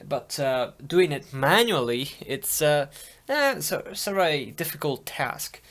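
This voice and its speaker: A man giving a casual hands-on tutorial, talking in a halting way as he thinks aloud. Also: a little high, big pitch swings, a bit bright. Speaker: audio hobbyist demonstrating tape machines.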